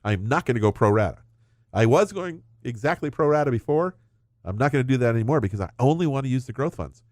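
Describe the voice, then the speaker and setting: Middle-aged male advisor, brisk and dry-miked, talks through scenarios. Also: mid-range voice, expressive, a little mellow, no echo. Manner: explains with hypothetical examples. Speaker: financial advisor. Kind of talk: casual financial chat.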